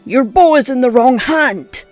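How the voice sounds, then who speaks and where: An actress playing a gruff, scolding old woman who teaches hunting with blunt scorn in an audio drama. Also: high-pitched, animated, measured pace. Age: older. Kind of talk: audio roleplay drama acting. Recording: music under the voice.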